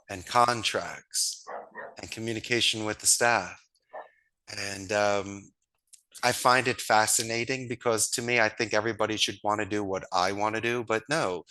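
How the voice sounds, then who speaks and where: A man's voice: fairly low pitch, bright, forceful tone, poor audio. A man, a guest telling his business story with enthusiasm and folksy sayings. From Northeast Philadelphia, USA. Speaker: guest, call center founder. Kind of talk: casual interview talk.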